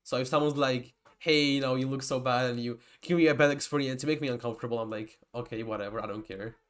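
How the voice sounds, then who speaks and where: A man's voice: mid-range voice, fairly even intonation, fast talker. Rambling young man lecturing. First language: Georgian. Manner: rambling, digressive, with many restarts. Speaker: typology lecturer. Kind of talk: casual lecture to camera.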